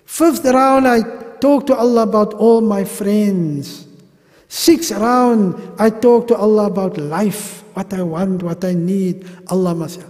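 A middle-aged South African man teaching a pilgrimage class at a mosque podium, animated and gesturing, with humorous asides. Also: high-pitched, takes it slowly. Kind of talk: religious class lecture. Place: large mosque hall. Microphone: gooseneck podium mic. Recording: long reverb of a mosque hall.

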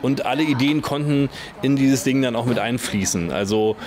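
A man's voice: mid-range voice, moderate pace, darkish tone. A man in his thirties giving informal interview answers, searching for words as he goes. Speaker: project leader. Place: indoor rehearsal hall. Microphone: handheld interview microphone with windscreen.

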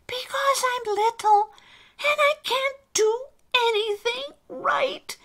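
A woman voicing a small, timid male creature in a children's story, with a thin, breathy, fretful squeak. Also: slow pace, a bit bright, full character voice. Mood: anxious, ashamed. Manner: fretful, pleading.

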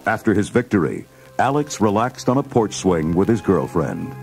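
A man narrating a scripted children's read-along story in a dramatic, clearly phrased way. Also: on the low side, wildly swooping pitch, moderate pace, darkish tone, soft background music.

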